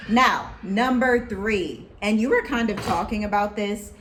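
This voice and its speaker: An American woman co-hosting a casual chat, talking in a lively, preachy way with scripture references. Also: mid-range voice, measured pace, a little hiss or hum. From United States. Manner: animated, preachy, quotes people in put-on voices. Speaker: co-host.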